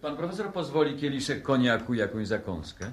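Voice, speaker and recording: A man's voice: mid-range voice, very animated, a little fast, natural voice. A man in a 1960s radio play acting a polite, formal servant who obligingly offers drinks. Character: polite, deferential male host serving drinks.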